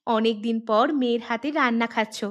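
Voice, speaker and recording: A woman's voice: fairly high pitch, steady tone, brisk, natural voice. A woman voicing an affectionate, dutiful young married village daughter in a cartoon fairy tale.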